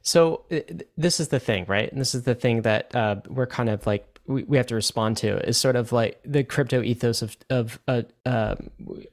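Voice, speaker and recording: A man's voice: mid-range voice, moderate pace, few pauses. A man hosting a podcast, pushing back on his guest in a hesitant way with many fillers. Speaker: podcast host. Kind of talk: interview conversation.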